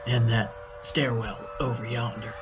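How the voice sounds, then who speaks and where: A man's voice: mid-range voice, flat delivery, measured pace, lo-fi sound, music in the background, lightly acted. A man playing a folksy old-west cowboy in a comic audio drama. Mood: helpful. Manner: folksy frontier talk.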